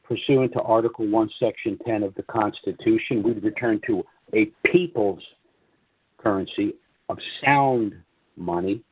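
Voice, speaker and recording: A man with a low, husky voice holds forth on a conference call, lecturing and asking rhetorical questions before answering them. The sound is dry and muffled, like lo-fi call audio. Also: dry recording. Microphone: phone or call line.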